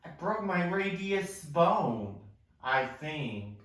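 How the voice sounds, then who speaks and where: A man's voice: fairly high pitch, big pitch swings, drawn-out words, fairly bright tone, heavily acted. A man reading the part of a kind, injured young male patient at an amateur musical table read. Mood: hesitant, friendly. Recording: distant mic in echoey room.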